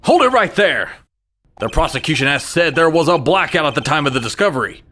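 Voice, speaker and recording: A man's voice: a little high, sing-song, a little fast, pushed and bright. A man playing a young, earnest and nervous rookie defense lawyer in a courtroom game fan dub, over music. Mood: confident. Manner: jumpy and hesitant, turning emphatic.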